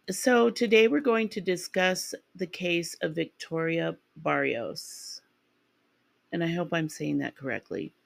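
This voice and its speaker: Raspy-voiced woman podcast host. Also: sits low, dry recording. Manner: rambling and chatty, with ums and restarts. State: raspy from a sinus infection. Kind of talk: casual true-crime podcast narration.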